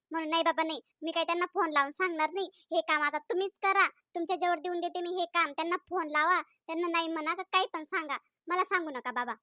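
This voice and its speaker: Woman voicing a bright, high-pitched, scolding young cartoon housewife. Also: dry recording, clean studio sound. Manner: chatty, insistent, scolding. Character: young married housewife, quick to take offense. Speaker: wife. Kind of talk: comedy cartoon voice acting.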